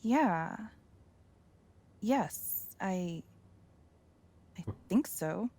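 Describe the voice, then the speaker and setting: A woman's voice: on the low side, animated, extremely slow, dark tone, exaggerated voice. An actress playing a grieving, bitter young woman, raw and wounded, in a video game. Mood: dazed, apologetic.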